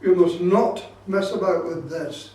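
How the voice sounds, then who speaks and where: A man's voice: sits high, unhurried, a little mellow. A man giving an informal hands-on club talk, explaining things and repeating his safety warnings insistently. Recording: very echoey room, distant microphone.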